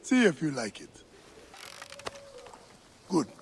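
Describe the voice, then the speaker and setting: An actor playing a welcoming, loyal old Egyptian comrade in a video game, familiar and teasing. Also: male voice, a little high, huge pitch swings, takes it slowly, vocal fry, slight background noise, full character voice. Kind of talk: naturalistic video game acting.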